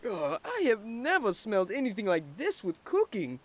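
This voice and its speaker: A woman's voice: on the high side, very animated, normal speed, darkish tone. A woman playing an inquisitive, squeamish young adventurer in a fantasy audio drama. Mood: disgusted.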